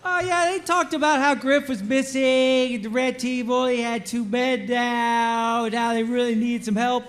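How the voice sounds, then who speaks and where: A man voicing a dim, childlike, earnest soldier in an animated comedy table read, naive and a little halting. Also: very high-pitched.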